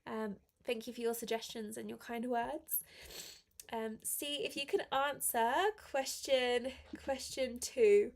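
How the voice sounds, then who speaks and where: High-voiced woman teacher with a cold. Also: level tone, slow, gravelly. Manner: encouraging, praising learners. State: slight head cold affecting the voice. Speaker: English teacher hosting a live class. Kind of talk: live online language lesson, reading exercises aloud and chatting to learners.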